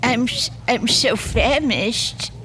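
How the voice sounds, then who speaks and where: An actress playing a frail, confused elderly woman in an audio drama, halting and bewildered. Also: on the high side, animated, fairly bright tone, a little hiss or hum. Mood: weak, faint. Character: frail, confused elderly town historian woman, weak and fretful. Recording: music behind the voice.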